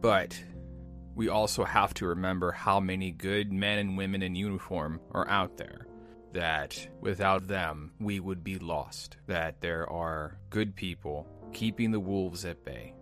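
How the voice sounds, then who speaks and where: A man's voice: low-pitched, fairly even intonation. A man reading an earnest, scripted introduction to a podcast episode. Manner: earnest, measured delivery. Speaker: show narrator. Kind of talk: scripted narration. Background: soft music.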